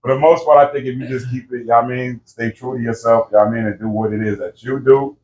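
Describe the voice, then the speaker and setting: A man's voice: medium pitch, steady tone, brisk, darkish tone. A man, a rapper being interviewed, talking in a laid-back, slangy way full of fillers, among friends in an indoor room. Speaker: rapper guest. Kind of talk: casual interview chat. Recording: roomy, distant, dull lo-fi sound.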